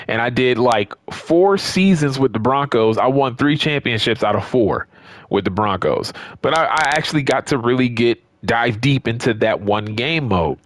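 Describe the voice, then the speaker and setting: Man, American accent, medium pitch, casual unscripted gamer commentary, conversational, emphatic repetition, dry room-free sound. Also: fairly bright tone, no echo. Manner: conversational, emphatic, repeats points for stress. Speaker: gaming video creator. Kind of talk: casual unscripted opinion talk.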